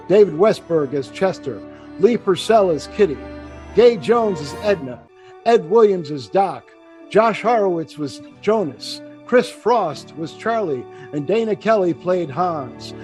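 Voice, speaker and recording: A man's voice: high-pitched, darkish tone. A man announcing in scripted old-time radio style, reading closing credits.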